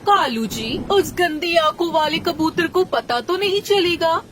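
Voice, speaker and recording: A woman plays a peevish, greedy crow wife from a cartoon. Her voice is extremely high-pitched, with a little noise in the background. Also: lively intonation, unhurried. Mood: wary. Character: jealous, gluttonous crow wife. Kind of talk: Hindi cartoon story voice acting.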